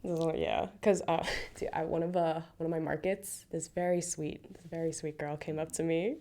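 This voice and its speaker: Lady author chatting as a podcast guest, laughs a lot, lotsa "like", somewhat low voice. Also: normal speed. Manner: animated, laughs often, many "like" fillers; self-deprecating anecdotes with acted-out quotes. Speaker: guest, children's book author. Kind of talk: casual interview storytelling.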